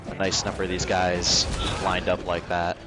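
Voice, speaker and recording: Low-voiced man livestreaming a game. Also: monotone, normal speed, poor audio. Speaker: livestreamer playing a video game. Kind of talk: casual gaming livestream commentary. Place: indoors at a computer. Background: game music.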